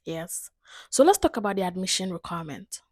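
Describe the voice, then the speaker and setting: A woman's voice: on the low side, very animated, brisk, a little mellow, no room sound, studio quality. A woman with a Ghanaian accent giving an explanatory talk as a video host, making her points emphatically and repeating them. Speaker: video host giving advice to international students.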